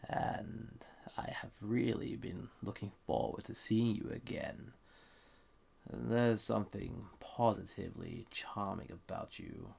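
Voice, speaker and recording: A man playing a banished, dangerous villain in a roleplay audio drama, flirty and condescending, murmuring smugly in a husky, gravelly voice. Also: on the low side, slow pace, darkish tone, heavily acted. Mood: smug, flirtatious.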